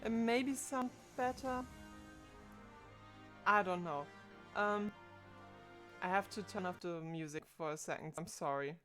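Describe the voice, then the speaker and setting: A woman's voice: on the low side, a little slow, long pauses, fairly bright tone, soft background music. A woman in her twenties streaming live from home, chatting casually and thinking aloud into a desk microphone as she puzzles over her setup. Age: 20s.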